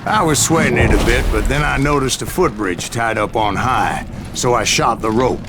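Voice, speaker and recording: An actor playing a grizzled older bounty hunter telling his boastful tale in a wry, unhurried American Western drawl. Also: mid-range voice, background noise, close to an everyday voice. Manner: folksy, wry, unhurried storytelling. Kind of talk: dramatic first-person game narration. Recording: loud music under the voice.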